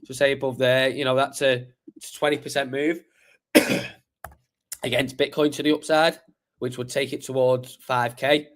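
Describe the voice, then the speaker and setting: A man hosts a live crypto stream, his voice a little croaky today. He is mid-pitched and talks through charts in a loose, rambling way, full of fillers and restarts. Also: little pitch movement, measured pace. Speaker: crypto livestream host. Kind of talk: casual live chart analysis.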